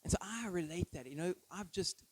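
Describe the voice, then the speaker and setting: A man's voice: sits high, lively intonation, fairly quick. A thirty-year-old man giving an informal, conversational sermon with personal stories, often checking in with listeners through short tag questions. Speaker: preacher.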